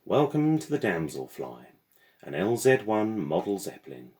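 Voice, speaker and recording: A man's voice: fairly low pitch, very animated, normal speed, darkish tone, voice pushed up. A man voicing a stocky, cheerful mechanical porter in a storybook, formal, proud and eager to please. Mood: welcoming. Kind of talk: storybook character voice.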